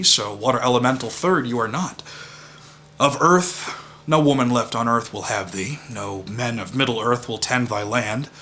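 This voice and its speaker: Man acting a forceful, pompous Shakespearean knight. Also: mid-range voice, slight background noise. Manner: declamatory, pompous, emphatic. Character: blustering, hot-tempered, pompous Shakespearean knight. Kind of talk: mock-Shakespearean dramatic recitation.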